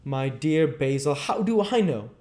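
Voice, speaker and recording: A man's voice: a little high, big pitch swings, a little slow, a little mellow, voice pushed up. A man voicing a vain, callous young aristocrat, haughty and flippant, from a classic novel. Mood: bored, flippant.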